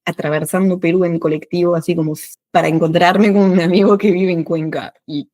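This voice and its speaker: A woman in her thirties from France, a French teacher who speaks Spanish with an Argentine accent in a casual chat, lively and laughing often. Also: fairly low pitch, dry recording. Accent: Argentine Spanish with a French background. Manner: lively, laughs often, quick bursts of speech.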